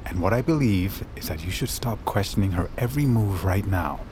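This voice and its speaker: An older father in a fantasy audio drama, solemn and cryptic. His voice is on the low side and carries stern authority through archaic phrasing, surrounded by loud ambient noise. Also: expressive, a little fast. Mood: solemn, firm. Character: cryptic, stern father who knows hidden things. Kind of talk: audio fiction drama acting.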